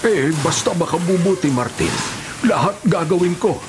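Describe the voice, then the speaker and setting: A man's voice: high voice, animated, moderate pace. An actor playing an elderly, pious male prisoner who preaches earnestly and meekly in a radio drama. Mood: humble, earnest. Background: music.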